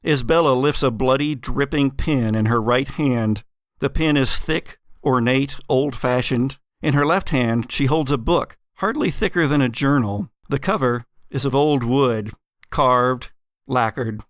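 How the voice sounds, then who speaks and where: A man narrating gothic horror as game master, with measured, dramatic, atmospheric storytelling. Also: medium pitch, no room sound, studio quality.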